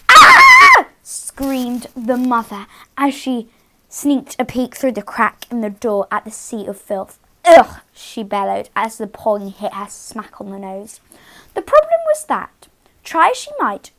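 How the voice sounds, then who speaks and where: A woman hosting storytime, speaking to young children in an expressive read-aloud style with dramatic emphasis on key words. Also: a little high. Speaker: children's storytime host and reader.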